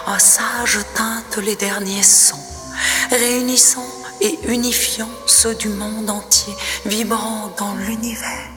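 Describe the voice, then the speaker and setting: A woman poet reciting her own poem expressively, in measured phrases with breathy, hushed endings. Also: fairly high pitch, little pitch movement, music in the background. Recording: long reverb, noticeable background ambience.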